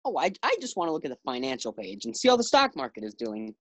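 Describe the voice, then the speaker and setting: Young performer as low-voiced, absent-minded radio husband. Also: female voice, sing-song, fast, vocal fry, full character voice. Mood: distracted. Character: distracted, affable husband reading his morning paper. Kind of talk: old-time radio sitcom acting.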